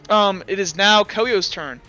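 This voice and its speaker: A young man running a tabletop game as game master, narrating scenes and explaining rules in a halting way with many fillers and restarts. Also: high voice, crowd noise, low-quality recording.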